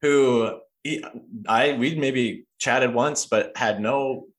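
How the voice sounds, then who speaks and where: A man's voice: medium pitch, takes it slowly. A man hosting a podcast in a casual, personal chat, rambling and often interrupting himself as he goes. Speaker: podcast host.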